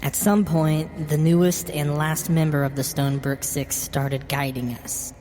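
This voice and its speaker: Low-pitched woman narrating in long reverb. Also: fairly even intonation, measured pace, dark tone, slight background noise. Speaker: horror story narrator. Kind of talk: scripted first-person horror narration. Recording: long reverb with music behind. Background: music.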